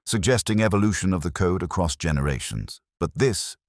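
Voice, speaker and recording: A man voicing an intense, precise detective in an audio story, speaking in a deliberate, commanding, didactic way. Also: deep voice, lively intonation, no room sound, clean studio sound. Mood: intent, measured.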